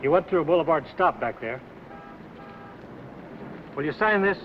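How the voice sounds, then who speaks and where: An American actor playing a brisk, businesslike traffic cop in a 1950s film, on a muffled, noisy soundtrack. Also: male voice, fairly high pitch, expressive. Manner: brisk, official.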